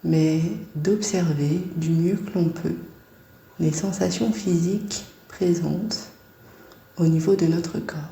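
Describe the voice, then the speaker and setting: A woman guiding a body scan meditation in a calm, soft-spoken, unhurried way with long pauses. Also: lowish voice, gravelly, roomy sound.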